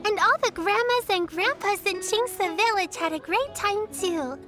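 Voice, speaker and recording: A woman voicing a sweet, innocent little girl in a video game, gentle and childlike. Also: very high voice, measured pace, over music. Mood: happy. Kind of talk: video game character dialogue.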